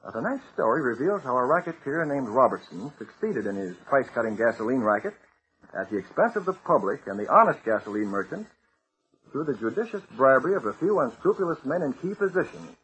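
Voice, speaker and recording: A man's voice: medium pitch, sing-song, fairly quick, a bit bright. A man reading a scripted old-time radio announcement in an earnest, exhorting manner to young listeners. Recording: noisy old radio recording.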